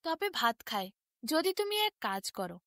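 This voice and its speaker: Storybook tailorbird, played by a woman: tiny, quick-tempered, wheedling in bright sing-song. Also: fairly high pitch, very animated, no room sound, clean studio sound, voice pushed down, full character voice. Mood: bargaining. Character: small indignant pleading tailorbird, quick to anger.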